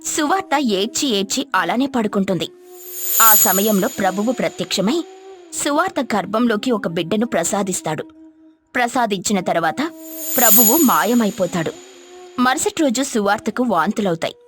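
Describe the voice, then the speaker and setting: A woman's voice: mid-range voice, expressive, normal speed, faint music behind. A woman narrating a scripted moral story as a storyteller.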